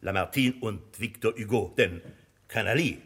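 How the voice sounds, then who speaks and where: An actor in a German radio drama playing an imperious, cynical publisher who talks in a blustering, condescending way. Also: male voice, lowish voice, normal speed, fairly bright tone, husky. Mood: dismissive. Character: imperious, cynical, boastful male publisher and bookseller.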